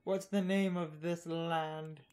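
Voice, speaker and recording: A woman's voice: lowish voice, steady tone, slow pace, darkish tone. A woman giving casual gaming commentary in a blunt, opinionated way. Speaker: co-host of a let's play series.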